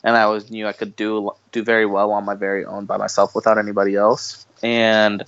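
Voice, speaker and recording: Low-pitched man, dull lo-fi sound. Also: flat delivery, a little fast, fairly bright tone, clear, resonant tone, no room sound. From Bosnia, later the United States. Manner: informal, repeats phrases for emphasis. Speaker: podcast guest, marketing entrepreneur. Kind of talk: casual conversational interview.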